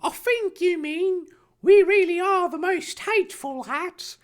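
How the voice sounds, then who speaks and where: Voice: extremely high pitch, measured pace, pitched above the performer's own voice. A performer voicing an earnest, pious teenage boy hero in an indignant, declamatory manner. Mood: bold, pious.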